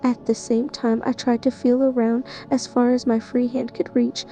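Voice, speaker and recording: A woman narrating a first-person horror story in a tense, even manner. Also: fairly high pitch, moderate pace, faint music behind. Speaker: narrator playing the listener's character.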